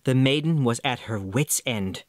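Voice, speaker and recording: A man reading a fairy tale as a scripted narration, with a theatrical, expressive delivery. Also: medium pitch, unhurried, no echo, studio quality. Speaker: storyteller.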